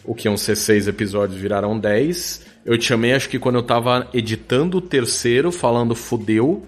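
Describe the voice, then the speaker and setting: A man's voice: mid-range voice, moderate pace. A man with a Brazilian accent talking casually as a podcast guest, informal, a bit sweary and self-deprecating. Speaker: podcast guest, audio drama producer. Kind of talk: casual chat.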